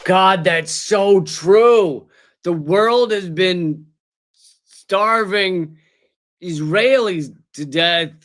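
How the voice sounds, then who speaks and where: A high-pitched man rips through political clips with biting sarcasm. He jumps from dry mockery to loud, exaggerated outbursts. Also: very slow. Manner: sarcastic, mocking asides and shouted put-on bits. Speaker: host. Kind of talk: satirical political commentary.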